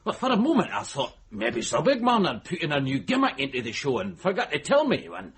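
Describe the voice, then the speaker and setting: A male club comedian in a radio drama. He is blunt, foul-mouthed and irreverent, spinning out slangy stories with a very lively, swinging pitch. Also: brisk, crowd noise. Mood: irritated, animated.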